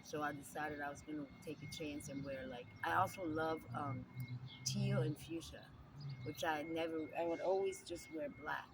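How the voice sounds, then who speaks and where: A woman's voice: sits low, normal speed. A middle-aged woman with a New Jersey accent, talking candidly and animatedly in an interview. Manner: chatty and animated, calls the listener girl. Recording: distant mic with loud outdoor noise.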